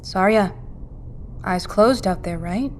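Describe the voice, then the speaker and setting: A woman's voice: medium pitch, slow pace, a little hiss or hum, natural voice. An actress playing a resolute, practical, selfless woman in a naturalistic audio drama. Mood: firm, hushed.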